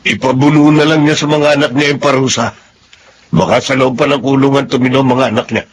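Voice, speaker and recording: An actor playing a stern, fed-up Filipino police officer and uncle, blunt and firm, in a radio drama. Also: male voice, mid-range voice, steady tone, brisk, slight background noise, lightly acted. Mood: stern, disapproving.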